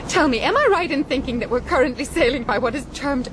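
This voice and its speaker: A woman's voice: sits high, expressive, fast. An actress playing a prim, stubborn, spirited castaway woman in a period radio drama, with formal, precise, indignant phrasing. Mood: exasperated, indignant. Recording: clear outdoor sea and boat noise.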